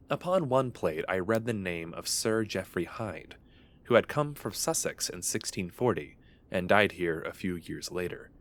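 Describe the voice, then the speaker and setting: A man's voice: on the low side, slight background noise. An actor playing a brooding, obsessed young man who narrates his morbid secrets in solemn, archaic, literary language, in a gothic horror audio drama. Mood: morbid fascination. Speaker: narrator and lead character.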